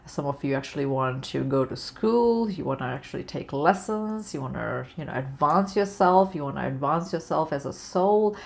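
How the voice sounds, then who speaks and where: A woman with a very low, deep voice and a gravelly creak, speaking with a Canadian accent touched by a British-Australian undertone. She rambles through a casual spiritual talk, full of "you know" and restarts. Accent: Canadian with a slight British-Australian undertone. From born outside Canada, raised in Canada. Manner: rambling, many fillers and restarts. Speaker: spiritual vlogger.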